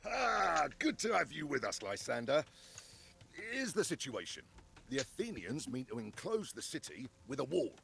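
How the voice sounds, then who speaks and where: An actor playing a brash, menacing Greek commander in a video game, with a mocking, theatrical delivery. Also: male voice, mid-range voice, sing-song, normal speed, vocal fry, a bit bright, heavily acted. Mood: brisk briefing. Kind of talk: dramatic game voice acting.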